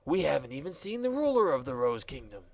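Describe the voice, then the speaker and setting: A man's voice: on the high side, sing-song, fast, a little mellow. A man reading a storybook aloud, voicing a rambling, easygoing wandering man in a chatty, offhand way. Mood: confident. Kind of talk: audiobook character voice.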